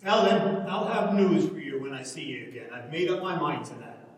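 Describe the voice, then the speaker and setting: Older countryman man acting, wistful, roundabout, lively high voice; live stage reading, distant mic in a reverberant hall. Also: normal speed, slight background noise, lightly acted. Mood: hearty. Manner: hesitant, roundabout. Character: anxious, kindly older countryman, hedging and wistful. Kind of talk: dramatic stage reading of an Irish rural play. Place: large reverberant hall, recorded from afar. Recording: echoey hall, distant microphone.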